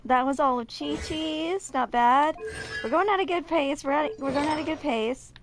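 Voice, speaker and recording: A woman's voice: high-pitched, normal speed, music in the background. A woman in her twenties hosting a casual gaming video, chatting and reading game lore aloud, sometimes stumbling and correcting herself. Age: 20s.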